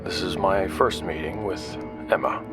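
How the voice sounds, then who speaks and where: A man acting as a wary, skeptical investigator in a sci-fi audio drama, speaking in a halting, understated, conversational way. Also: low-pitched. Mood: weary, reflective. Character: wary, skeptical male investigator broadcasting in secret. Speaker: narrator and lead character. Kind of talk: audio drama acting, confessional broadcast narration and dialogue. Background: prominent background music.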